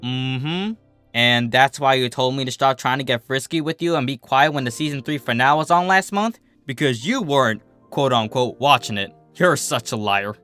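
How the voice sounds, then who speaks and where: A man voicing a steady, affectionate, teasing female farmer pony. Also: mid-range voice, normal speed, smooth, soft background music, close to an everyday voice. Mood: teasing, insistent.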